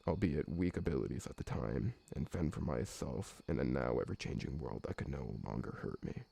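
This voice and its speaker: A man performs a loyal male vampire assistant in an intimate ASMR roleplay. His voice is very low and heavily creaky, with a husky grain. He is courteous and formal, fussy in a bookish way, and given to rambling. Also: mellow, full character voice. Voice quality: gravelly, husky. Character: polite, loyal old vampire servant, fussy former bookkeeper, male.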